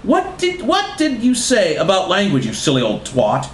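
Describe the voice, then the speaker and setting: A furious, bratty teenage girl from a storybook , as a male reader puts her on, pitched high and swearing freely. The voice swings up and down dramatically in an echoey room. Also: very animated, moderate pace, fairly bright tone. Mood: furious, taunting. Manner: bratty, profane, exclamatory. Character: angry, sulky, foul-mouthed teenage girl. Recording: roomy echo, faint noise.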